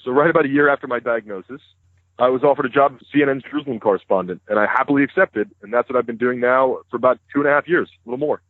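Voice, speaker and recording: A man's voice: mid-range voice, very fast, no echo. A man in his thirties, a guest in an interview, speaking openly and matter-of-factly. His first language is Hebrew. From United States. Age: 30s. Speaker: interview guest, news correspondent. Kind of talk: phone interview conversation. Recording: dry, tinny phone line.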